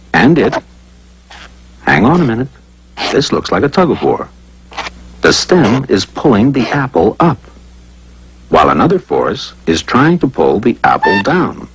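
A man's voice: medium pitch, slight background noise, rough recording. A man narrating a scripted educational cartoon in a playful, conversational way, full of rhetorical questions.

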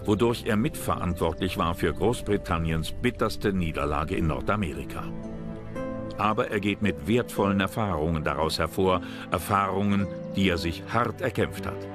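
Deep-voiced man narrating over music. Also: moderate pace. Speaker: documentary narrator. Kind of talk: scripted historical narration. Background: background music.

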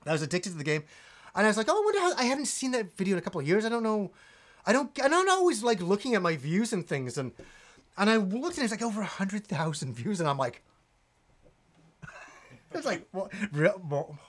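A man in his forties, a gaming channel host, reading donations on a live stream with lively enthusiasm and rambling restarts, in a room with game shelves. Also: sits high, brisk. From Yorkshire, England, raised in Canada. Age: 40s.

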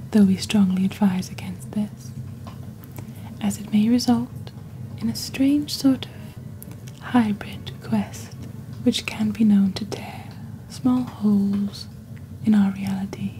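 A woman playing a calm, mysterious witch presenting a shopping channel in soft, breathy, near-whispered ASMR, with slow and deliberate phrasing, close to the microphone. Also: medium pitch, lightly acted, poor audio. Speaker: host of an ASMR roleplay channel. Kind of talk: soft-spoken ASMR scripted product presentation. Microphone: close desk microphone.

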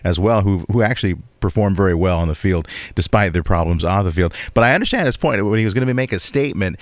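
A man's voice: low-pitched, expressive, fast, dry recording. A man co-hosting a sports radio show, giving conversational sports commentary.

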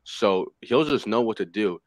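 A man's voice: on the low side, lively intonation, unhurried, dry recording, rough recording. A young man on a headset in a video call, talking football in a loose, rambling way with many fillers. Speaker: panelist. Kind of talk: casual sports chat.

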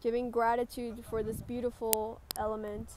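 A woman with a fairly high voice guides a group through a meditation. Her delivery is gentle and instructive. Ambient noise can be heard behind her. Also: flat delivery, moderate pace. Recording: clear background noise, ambient sound.

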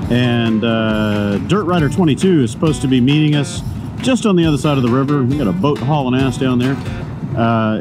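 A man riding a motorcycle and chatting casually to the camera through a helmet mic, rambling, loose and quick to swear and chuckle. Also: mid-range voice. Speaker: motorcycle vlogger. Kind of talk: casual ride commentary. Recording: music playing under the voice.

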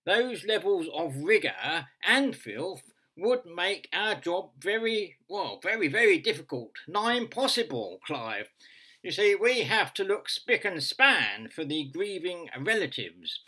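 A man performs an earnest funeral director phoning a radio show in a comic story. The voice is nasal and bright, with pitch that swings widely. He is hesitant and ponderous, repeating himself with deadpan solemnity. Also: unhurried, exaggerated voice. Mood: solemn, pedantic. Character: earnest, long-winded male funeral director, radio phone-in caller.

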